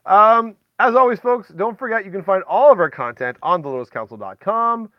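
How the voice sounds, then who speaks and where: A man's voice: high voice, expressive, brisk, no echo, dull audio. A man hosting a podcast in casual, rambling chat, talking directly to listeners with folksy exclamations. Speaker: podcast host.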